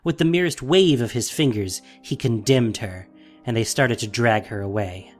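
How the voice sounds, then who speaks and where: A man narrating a scripted audio drama in an expressive, theatrical manner. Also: mid-range voice, normal speed, soft background music.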